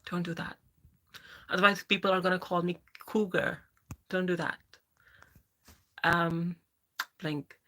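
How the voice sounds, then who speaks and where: A woman's voice: lowish voice, level tone, unhurried, long pauses, fairly bright tone. A woman in her thirties with a Pakistani English accent, chatting casually on a live stream and answering viewers by name. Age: 30s. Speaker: livestream host. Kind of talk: casual live chat reading viewer comments.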